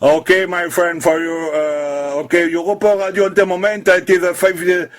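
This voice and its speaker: A man from France with a French accent, speaking halting, simple English as a CB radio operator making contact. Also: sits high, level tone.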